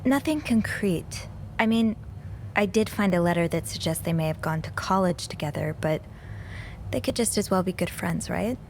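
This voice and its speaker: An actress playing an earnest, curious young amateur sleuth in a video game, speaking in a hesitant, thoughtful, understated way. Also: female voice, fairly low pitch, lively intonation, moderate pace, vocal fry, slight background noise. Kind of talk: naturalistic video game acting.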